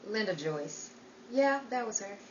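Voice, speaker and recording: A woman's voice: medium pitch, expressive, a little slow, close to an everyday voice. Woman playing a world-weary noir sister, muffled recording. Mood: curt, guarded. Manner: wry, fatalistic, dramatic. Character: world-weary, guarded sister and manager, narrating grimly. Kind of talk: 1930s radio noir narration and acting. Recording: dull, roomy, distant mic, faint noise.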